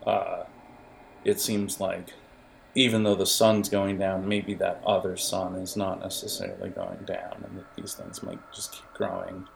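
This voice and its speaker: A man narrating an improvised tabletop game as game master, in a halting, explanatory way with hesitations. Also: low-pitched, flat delivery, vocal fry, a little hiss or hum, poor audio.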